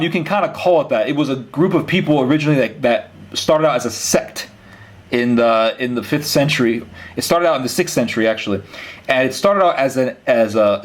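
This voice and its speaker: Guy doing an interview, average pitch, earnest, kinda halting as he explains stuff. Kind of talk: conversational interview, explaining and questioning.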